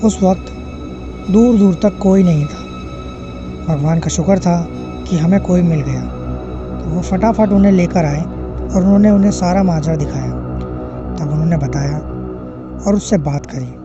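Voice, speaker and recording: A man's voice: high-pitched, wildly swooping pitch, moderate pace, long pauses, muffled recording. A man narrating a scripted first-person horror story, with music playing behind him.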